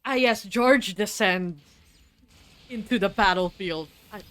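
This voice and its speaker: A woman chatting casually on a game live stream, amused and quick to react with exclamations. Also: mid-range voice, a bit bright.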